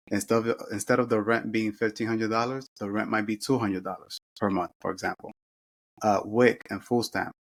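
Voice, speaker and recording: Middle-aged man from the Dominican Republic; low, monotone, earnest interview storyteller. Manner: earnest, with frequent restarts and self-corrections. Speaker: guest sharing life story.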